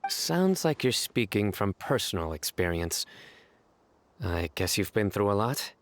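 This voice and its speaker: An actor playing a stern, earnest officer in a video game, speaking in a formal, deadpan manner. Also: male voice, on the low side, measured pace. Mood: calm. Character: stern, earnest male officer, solemn card enthusiast. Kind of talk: English video game voice acting. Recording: music behind the voice.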